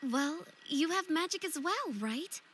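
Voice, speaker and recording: A proud, playful and easily hurt young fairy from a fantasy story game, played by an actress. Her high, bright voice swings expressively up and down. Faint background noise sits behind her. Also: lively intonation, a little slow, heavily acted. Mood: friendly, eager. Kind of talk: visual novel game voice acting.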